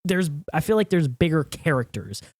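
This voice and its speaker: A man's voice: a little high, very animated, fairly quick, darkish tone, no echo, studio quality. A man on a podcast panel, chatting casually and informally with some slang. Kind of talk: casual debate chat.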